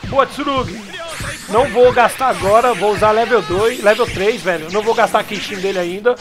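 Hoarse Brazilian gamer over game music. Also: male voice, sits high, fairly quick. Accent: Brazilian Portuguese. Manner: excited, calls out special moves. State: hoarse from a cold. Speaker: gaming YouTuber. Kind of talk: let's play commentary.

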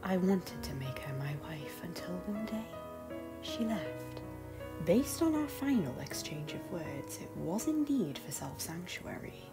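A woman playing a solemn, wistful noblewoman in an animated drama, speaking in hushed, breathy, formal poetic phrases. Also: fairly low pitch, a little slow. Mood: grave, wistful. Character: solemn, wistful noblewoman nursing lost love. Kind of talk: dramatic animated-film voice acting. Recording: music under the voice.

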